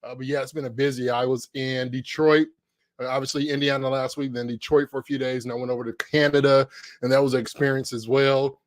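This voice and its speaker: A man's voice: mid-range voice, little pitch movement, fast, dry recording. A middle-aged man co-hosting a podcast, chatting casually and upbeat, with lots of fillers and restarts, from a home corner on a podcast microphone. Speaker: podcast co-host. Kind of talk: casual chat.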